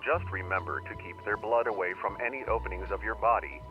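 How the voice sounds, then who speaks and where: A man playing a grave, formal narrator of an analog horror survival tape, measured and solemn. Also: lowish voice, close to an everyday voice. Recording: muffled old tape, music underneath. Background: music.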